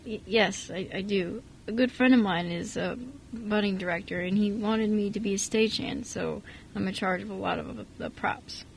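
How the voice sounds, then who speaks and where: Woman acting a gentle, hesitant young dad, faint noise. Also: mid-range voice, moderate pace, vocal fry, few pauses, natural voice, poor audio. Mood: modest. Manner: hesitant, reassuring, mild stammer. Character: kind, gentle adoptive father, soft-spoken man. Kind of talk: amateur radio drama acting.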